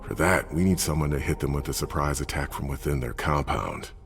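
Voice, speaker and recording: An actor playing a stern, cautious vampire adviser in a game cutscene, blunt and serious, with a gravelly voice. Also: male voice, extremely low pitch, fairly quick, soft background music. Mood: stern, insistent. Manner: blunt, serious, matter-of-fact. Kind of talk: dramatic video game cutscene acting.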